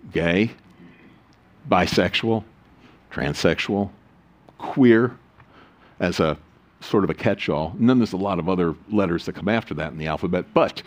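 A man preaching a sermon as pastor, reading scripture solemnly and adding joking, animated asides. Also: fairly low pitch.

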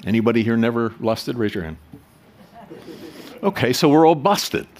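A man's voice: mid-range voice, lively intonation, normal speed, darkish tone. A male pastor preaching a sermon in a conversational way, with emphatic swells and rhetorical questions to the congregation.

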